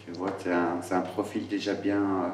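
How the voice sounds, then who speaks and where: A man's voice: low voice, level tone, moderate pace, roomy sound, far from the mic, slight background noise. A man on a jury asking a young candidate casual interview questions in a frank, teasing manner. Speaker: agency executive on a jury. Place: large concrete backstage room.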